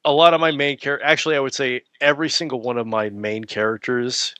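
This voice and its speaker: A man with a bright, crisp-edged voice talks as a podcast guest in a casual interview. He is wry and articulate, and the recording is close and dry. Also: medium pitch, steady tone, a little fast, no room sound.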